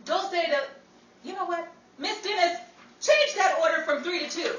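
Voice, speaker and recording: A woman's voice: very high voice, unhurried, fairly bright tone. A woman playing a sassy, flamboyant Broadway showgirl in a 1930s-style radio comedy, slangy and theatrical. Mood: bossy, brassy. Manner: theatrical, slangy, mock-indignant. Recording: roomy, distant, noisy recording with crowd.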